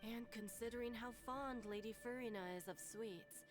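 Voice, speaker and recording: A woman's voice: fairly high pitch, normal speed, music in the background, close to an everyday voice. A woman playing a weary, sardonic prison registration clerk in a video game, dry and officious. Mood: deadpan.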